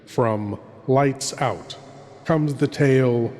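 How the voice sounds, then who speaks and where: A man's voice: mid-range voice, a little mellow. A man performing as a sinister, grandiose horror host, narrating old-time radio horror in an ominous, theatrical manner. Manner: slow, ominous, dramatic delivery. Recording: long cavernous reverb, some noise.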